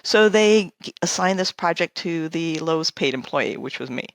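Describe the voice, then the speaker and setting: A woman's voice: deep voice, lively intonation, normal speed, no echo. A woman in her fifties from Canada, a guest architect who tells stories in a chatty, self-deprecating way. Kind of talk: casual interview chat.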